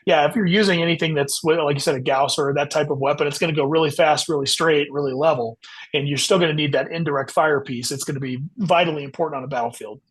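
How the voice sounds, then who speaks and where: Fast-talking husky man, slightly high voice. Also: fairly even intonation. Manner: explanatory. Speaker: guest author. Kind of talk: casual interview discussion.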